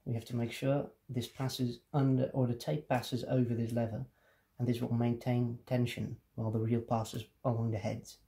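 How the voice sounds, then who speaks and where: A man's voice: medium pitch, level tone, normal speed, gravelly, mellow. A man presenting a casual hands-on tutorial, explaining things step by step.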